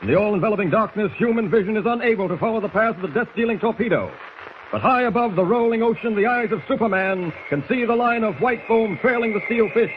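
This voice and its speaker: High-pitched dramatic 1940s radio narrator, male. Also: expressive, fast, dull audio. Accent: American. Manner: urgent, grandiloquent. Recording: faintly noisy 1940s radio recording.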